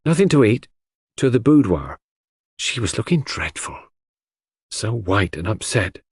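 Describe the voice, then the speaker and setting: A man's voice: fairly low pitch, big pitch swings, a little slow, breathy edge, dry recording, clean studio sound, full character voice. A man voicing an old, loyal English housemaid who is deferential, old-fashioned and easily indignant, in an audiobook mystery. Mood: deferential, confiding.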